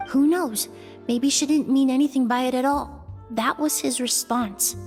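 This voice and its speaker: A woman playing a thoughtful, polite young perfumer in a video game, measured and explanatory. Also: high voice, darkish tone, in a large hall, faint music behind. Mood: reflective.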